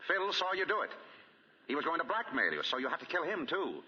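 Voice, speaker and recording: Dull old radio recording, shrewd detective, swooping intonation, husky voice, accusing, deliberate. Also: male voice, fairly high pitch, very animated, sharp tone, long reverb tail, full character voice. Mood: grave, accusing. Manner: probing, deliberate, accusing questioning. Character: shrewd, composed male amateur detective laying out a case. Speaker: radio drama actor. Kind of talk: 1940s radio drama acting. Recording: dull, lo-fi 1940 radio broadcast.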